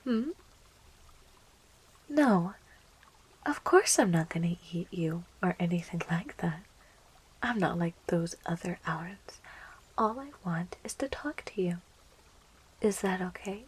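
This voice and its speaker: Monster-girl enchantress for ASMR roleplay, played by a woman: lovestruck and clingy, extremely breathy soft whisper, unhurried, coaxing delivery. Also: on the low side, expressive, full character voice, rough recording. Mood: excited, sweet. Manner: coaxing, cooing, giggly, slow.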